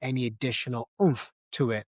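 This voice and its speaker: A man's voice: mid-range voice, steady tone, fairly quick, a little mellow, no echo, studio quality. A man hosting a podcast in a casual, conversational film discussion. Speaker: podcast co-host.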